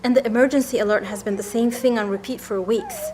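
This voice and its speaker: A woman's voice: on the high side, wildly swooping pitch, quick pace, darkish tone, a little hiss or hum. An actress playing a resolute, protective young mother and leader, firm and decisive, in an audio drama. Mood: concerned, probing.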